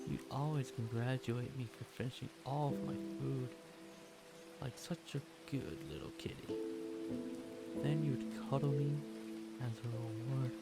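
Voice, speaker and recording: A man plays an obsessively devoted stray catboy in an ASMR roleplay. He speaks slowly at a medium pitch, in halting, pleading phrases, submissive and clingy toward the listener. Prominent music and rain run behind the voice. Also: lightly acted.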